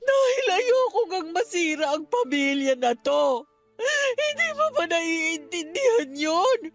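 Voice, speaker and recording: A distraught middle-aged Filipino mother in a radio drama. Her voice is high and slow, tearful and desperate, pleading with emphasis over faint music. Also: big pitch swings, no room sound, exaggerated voice. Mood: desperate, insistent.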